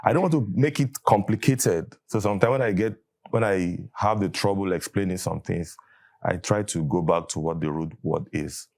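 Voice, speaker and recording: A Nigerian man with a very deep, low voice, an Igbo speaker, appearing as an interview guest. He talks thoughtfully, pausing often and restarting his sentences as he works out his ideas. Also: creaky, darkish tone. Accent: Nigerian English. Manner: thoughtful, hesitant, with fillers and restarts. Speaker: guest, catechist. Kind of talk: conversational interview.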